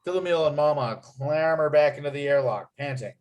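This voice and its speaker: A man reading screenplay scene headings and action aloud as narrator, with expressive storytelling delivery. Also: fairly high pitch, fairly quick.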